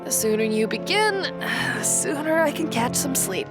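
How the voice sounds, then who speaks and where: A brash, impatient young adventurer in a radio drama. Her pitch is a little high and swings freely. She is blunt and sardonic. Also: expressive, unhurried. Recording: music under the voice.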